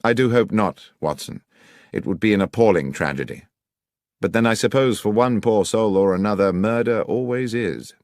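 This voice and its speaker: A man voicing a brilliant Victorian detective in an audiobook, with crisp, patient, authoritative speech. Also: fairly low pitch, moderate pace, a little mellow, dry recording, studio quality, lightly acted. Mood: thoughtful. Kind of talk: audiobook character reading.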